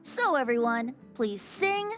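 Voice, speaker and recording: A playful, theatrical young bard from a video game. The voice sits high and swoops freely through each phrase like a born storyteller's, cheeky and self-satisfied. Music plays behind it. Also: female voice, sing-song, slow, a bit bright, exaggerated voice. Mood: dramatic storytelling. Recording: music under the voice.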